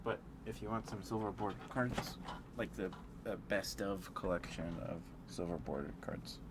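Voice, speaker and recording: Low-voiced man rambling in ASMR style. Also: normal speed. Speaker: ASMR livestreamer. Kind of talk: rambling ASMR chat with live viewers. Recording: clear background noise.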